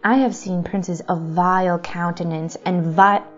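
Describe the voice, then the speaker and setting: A woman playing a forthright, lonely princess in an audio drama, speaking archaic storybook English in a breathy voice. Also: fairly low pitch, steady tone, measured pace, lo-fi sound, faint music behind, natural voice. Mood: admiring.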